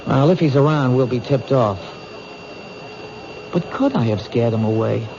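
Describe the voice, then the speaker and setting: A man's voice: mid-range voice, lively intonation, a little slow. A man playing an earnest, dogged police detective in 1970s radio drama, speaking with urgent insistence. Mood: intense, reasoning. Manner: urgent, insistent, reasoning things out aloud. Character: earnest, dogged male police detective protecting a threatened woman. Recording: noisy, dull 1970s radio recording.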